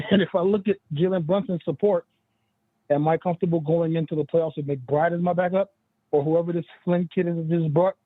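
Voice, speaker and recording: A fairly high-voiced man calling in over a thin, phone-like line, a bit husky. He chats loosely about the game and laughs between points. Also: fairly even intonation, a little fast, no echo. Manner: chatty, laughs often, "you know what I'm saying". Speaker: guest analyst. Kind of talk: casual sports talk. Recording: tinny phone-line call.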